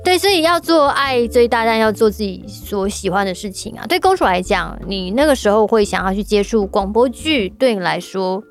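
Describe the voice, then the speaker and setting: Female Taiwanese co-host, mid-pitched, casual and playful chat with music underneath. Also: expressive, normal speed, few pauses. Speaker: podcast co-host.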